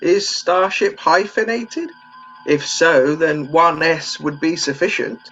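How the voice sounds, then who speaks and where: A man playing a gentle, timid old Home Guard medic, meek and fussy, in a comedy radio play. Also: on the high side, slow pace, dull audio, soft background music, exaggerated voice.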